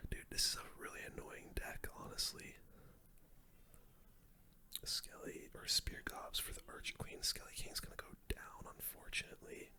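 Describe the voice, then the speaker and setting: A person doing gameplay commentary in a breathy, unvoiced ASMR whisper, using casual gamer slang. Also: bright, forceful tone. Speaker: gaming streamer, commentator.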